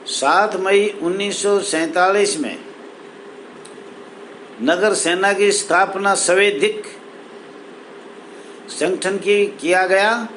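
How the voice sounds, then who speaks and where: A man with a high voice delivers a formal appeal as a spokesman. He goes very slowly, breaking sentences into short, emphatic phrases. There is clear background noise in the recording. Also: rough recording. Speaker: spokesman for a welfare association. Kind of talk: formal address to camera, appeal.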